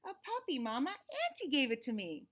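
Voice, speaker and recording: A woman's voice: sits high, wildly swooping pitch, moderate pace, fairly bright tone, heavily acted. A woman voicing a small, innocent, proud boy in a storybook, answering simply and eagerly.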